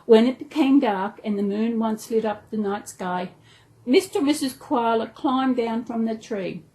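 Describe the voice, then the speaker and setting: A woman with an Australian accent reads a children's story aloud in an animated way, stumbling now and then over words. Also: fairly high pitch, moderate pace, poor audio. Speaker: storyteller, channel host.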